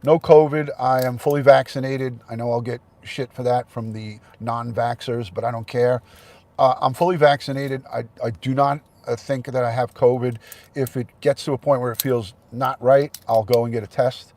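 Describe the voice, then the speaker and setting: A man's voice: mid-range voice, fairly even intonation, normal speed, a little mellow. A man hosting a casual livestream, congested with a head cold, chatting and thanking viewers by name. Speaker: livestream host.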